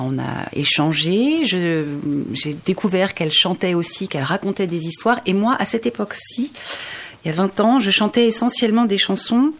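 A woman whose first language is Indonesian, a singer in her forties, telling a long story in a radio interview with many pauses and fillers. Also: fairly low pitch. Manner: hesitant, many fillers and restarts. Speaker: singer, guest.

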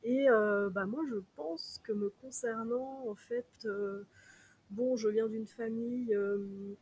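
A woman's voice: fairly high pitch, takes it slowly, darkish tone, a little hiss or hum. A woman, a podcast guest, answering thoughtfully with frequent hesitations. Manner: thoughtful, hesitant, many fillers. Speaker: guest, concert organizer. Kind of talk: casual podcast interview.

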